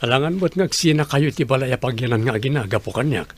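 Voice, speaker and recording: A man's voice: mid-range voice, lively intonation, fast, natural voice. A man with an Ilocano accent playing a kind, respectful younger suitor and family friend in a radio drama. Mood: kindly, coaxing.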